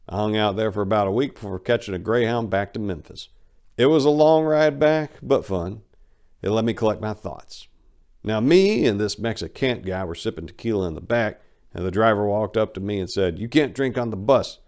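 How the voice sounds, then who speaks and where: A man with a fairly low voice performs a first-person memoir as a dramatic reading. He tells it expressively, like a storyteller, leaning into certain phrases with emphasis. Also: a little mellow. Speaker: audiobook narrator.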